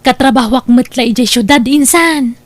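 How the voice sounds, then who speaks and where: A woman's voice: high voice, moderate pace, no room sound. A woman with an Ilocano accent playing a teasing young female cousin in a comedy radio-style drama. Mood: playful, teasing.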